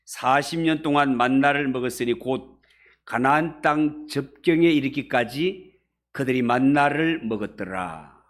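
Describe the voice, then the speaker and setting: A man's voice: medium pitch, measured pace. A man preaching an expository sermon to a congregation as a pastor, with emphatic rises and falls of stress. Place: church service.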